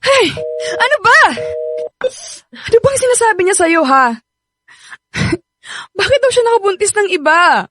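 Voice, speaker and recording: A woman's voice: very high voice, very animated, measured pace, pauses often, a bit bright, full character voice. An actress with a Filipino accent playing a pregnant young woman betrayed by her partner, emotional and pleading, in a radio drama. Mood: shocked, angry. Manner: emotional, insistent, repeats words and pleads. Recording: dramatic music bed under the voice. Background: music.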